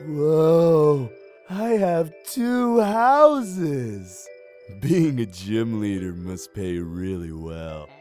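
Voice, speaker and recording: A man's voice: mid-range voice, big pitch swings, very slow, darkish tone, faint music behind. A man voicing a dopey, dazed hippie gym leader in a cartoon parody dub, drawling and easily distracted. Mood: dazed, amused.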